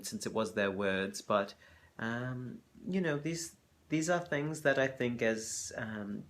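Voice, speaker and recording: Low-voiced man, halting and thoughtful. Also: fairly bright tone. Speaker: reaction video host. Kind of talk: reflective commentary.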